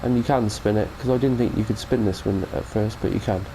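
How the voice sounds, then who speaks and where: British guy full of cold, rambly game mod review, lowish voice, soft faint hiss behind him. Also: brisk, gravelly. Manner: chatty, rambling, self-correcting. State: has a cold. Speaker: gaming channel host. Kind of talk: casual mod review commentary.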